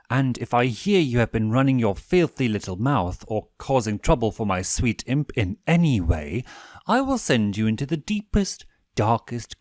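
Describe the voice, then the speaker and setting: Man playing a demon owl prince, icy courtesy, formal diction, grand threats, widely swinging pitch, storybook reading. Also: dry recording, clean studio sound. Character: cold, forcibly pleasant demon owl prince, menacing.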